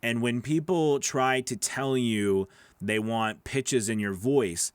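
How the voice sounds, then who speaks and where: A man's voice: mid-range voice, slow pace, clean tone, no room sound. Man, thirties, nasal, chatty and repetitive, dry close studio mic. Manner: chatty, repeats words, reads viewer questions aloud. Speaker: voice actor hosting a live Q&A. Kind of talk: casual livestream Q&A. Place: small home studio, indoors. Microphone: large studio microphone on boom arm.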